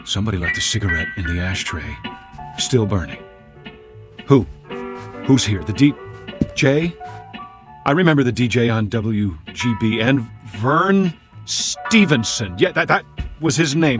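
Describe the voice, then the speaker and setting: An American actor in a radio drama playing a confused, lonely amnesiac Air Force man who talks to himself in halting, stammering repeats. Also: mid-range voice, very animated, music in the background. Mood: wondering, uneasy. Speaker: lead actor. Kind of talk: dramatic radio play acting.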